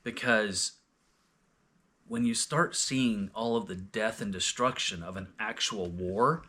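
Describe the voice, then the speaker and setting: A man's voice: fairly low pitch, slow, bright, forceful tone, slightly rough. A man hosting a casual, unscripted discussion, often searching for words and restarting his sentences as he goes. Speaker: host of a scripture reading discussion.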